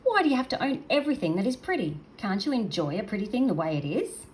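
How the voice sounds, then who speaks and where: A woman's voice: medium pitch, sing-song, fast, slight background noise, voice pushed up, lightly acted. A woman voicing a small, earnest male seal from a storybook, timid but brave, with a pleading manner. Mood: pleading, reasoning.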